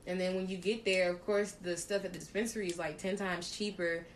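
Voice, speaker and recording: A woman's voice: on the low side, little pitch movement, brisk, slight background noise. Brash, crude-joking woman podcast host. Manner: loud, laughing, crude joking. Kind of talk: casual chat.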